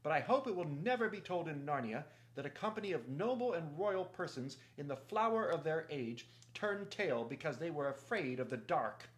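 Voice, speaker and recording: A man voicing a small, proud, chivalrous talking mouse from a storybook, with a clear voice and haughty, formal, declamatory delivery. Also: on the high side, lively intonation, normal speed, hardly any pauses, voice pushed up, lightly acted. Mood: indignant challenge.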